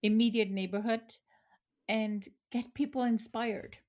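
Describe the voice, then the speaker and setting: A woman's voice: fairly high pitch, steady tone, unhurried, darkish tone. A middle-aged woman from Austria, a photographer answering interview questions earnestly, with fillers and restarts. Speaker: photographer, guest.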